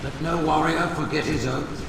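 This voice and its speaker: An actor playing a solemn, pompous alien religious leader in a video game, speaking in grand oratory. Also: male voice, on the high side, steady tone, moderate pace, noisy background, natural voice. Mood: stern, commanding. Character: solemn, pompous alien prophet hierarch addressing the faithful. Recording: echoing cavernous hall from far off, loud music.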